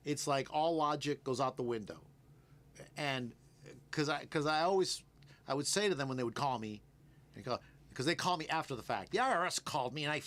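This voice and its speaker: A man's voice: fairly high pitch, a bit bright. Chatty Cuban-born man on radio. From Cuba. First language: Spanish. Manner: chatty, laughs often, quick asides. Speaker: radio host, tax preparer. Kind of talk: casual radio chat.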